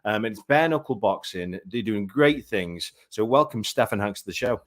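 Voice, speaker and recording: A man's voice: lowish voice, animated, moderate pace. A man hosting a live online chat show, chatty and effusive, calling his guests mate. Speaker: show host.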